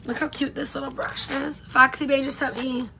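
A woman's voice: high-pitched, little pitch movement, moderate pace, heavy background noise. A woman in her thirties chatting casually while doing her makeup on a live stream, her voice worn and going. She rambles and greets viewers by name. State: losing her voice. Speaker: beauty vlogger. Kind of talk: casual get-ready-with-me live chat. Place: home room with pink neon lights, indoors. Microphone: camera in front at close range.

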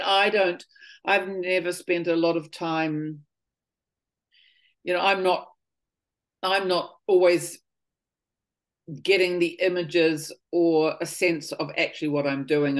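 Guest, fifties woman, low voice, earnest, circling thoughts, soft trailing phrases on a video call. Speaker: voice and healing workshop leader. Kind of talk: casual spiritual conversation. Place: home living room.

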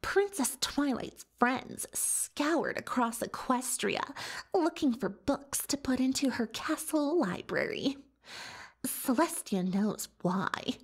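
A vain, melodramatic showgirl unicorn from a cartoon world, spins her story as ASMR roleplay. Her high voice swoops widely and lingers over words at a slow pace. She is haughty and boastful, with conspiratorial asides. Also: lively intonation, slightly rough, exaggerated voice. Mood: haughty, defensive. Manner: haughty, theatrical, third-person self-reference, conspiratorial asides. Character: boastful, self-dramatising female unicorn pony showgirl magician.

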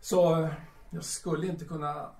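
A man playing a skeptical, nagging absinthe-drinking playwright in an audio drama, halting and hemming as he mutters. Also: on the high side, flat delivery, creaky, warm tone, distant mic. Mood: needling. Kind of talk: audio drama dialogue in Swedish. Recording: echoey room, off the mic.

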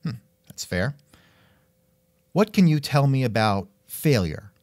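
A man with a lively, swooping delivery hosts a casual interview. He sounds wry and musing, and the recording is close and dry. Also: mid-range voice, takes it slowly, no echo. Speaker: podcast host and interviewer. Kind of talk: casual scripted interview chat.

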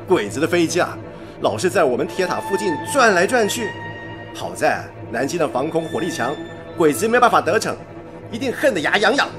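An actor playing a spirited wartime radio station worker, speaking expressively in radio play dialogue. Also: male voice, fairly high pitch, brisk, music in the background. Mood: defiant. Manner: animated, expressive.

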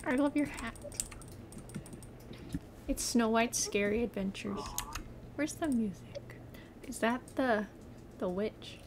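A young woman chatting excitedly with friends while playing a game, often gasping and laughing. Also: a little high, slow pace, long pauses, noisy background. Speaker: player. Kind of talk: casual gaming chat. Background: game music.

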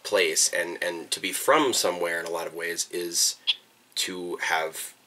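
A man's voice: low-pitched, steady tone, measured pace, bright, forceful tone. A young man reflecting thoughtfully on where he is from, hesitating and repeating words as he thinks, in a small room. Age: young adult. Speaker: vlogger. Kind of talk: reflective personal documentary talk. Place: small bedroom indoors.

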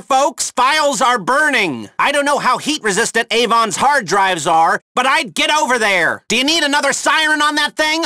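Game role: nagging, sarcastic male heist handler, sharp bright tone, dry recording. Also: very high-pitched, no echo, heavily acted. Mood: urgent, nagging. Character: sardonic, anxious male criminal mission handler. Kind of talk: video game mission dialogue.

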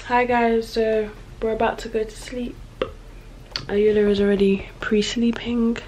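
A woman's voice: a little high, little pitch movement, a little slow, background noise. A woman in her twenties talking casually on a travel vlog, indoors in a room.

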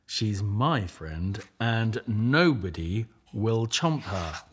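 Man as a gentle, slow-spoken storybook lion. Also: lowish voice, animated, a little hiss or hum. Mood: firm. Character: gentle, poetic male lion, thoughtful and loyal.

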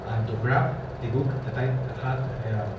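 Guy on a writers panel, measured and emphatic, dull far-off hall sound. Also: medium pitch, heavy background noise. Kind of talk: panel discussion answer.

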